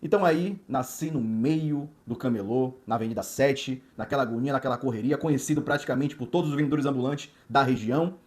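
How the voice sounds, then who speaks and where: A man's voice: mid-range voice, fairly quick, hardly any pauses, a little mellow. A man, a watch distributor telling his life story in an emphatic, motivational way, repeating words in lists and adding frequent tag questions. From Salvador, Bahia, Brazil.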